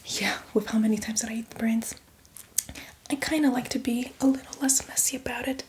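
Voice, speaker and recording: A woman playing a boastful, playfully menacing female zombie in a chatty, teasing ASMR roleplay, speaking in a breathy, hushed whisper. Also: fairly high pitch, fairly even intonation, brisk, a little hiss or hum, lightly acted. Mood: chatty, proud. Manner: chatty, teasing, addresses the listener as victim.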